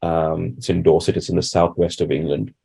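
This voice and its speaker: A man's voice: low-pitched, little pitch movement, brisk, creaky, dark tone, breathy edge. A man from India giving reflective, conversational answers as a doctor being interviewed. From Bangalore, India.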